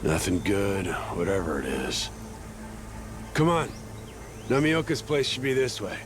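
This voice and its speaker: An actor playing a gruff, loyal sea captain, tough and blunt but caring, in a dramatic game cutscene. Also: male voice, lowish voice, sing-song, a little slow, background noise. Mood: uneasy. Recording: music under the voice.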